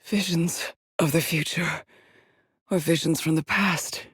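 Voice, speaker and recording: A woman playing an anguished, trapped astronaut in a dramatic video game, with a husky, breathy voice and a halting, haunted delivery. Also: deep voice, fairly even intonation, no echo, studio quality, heavily acted. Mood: grave, resolved.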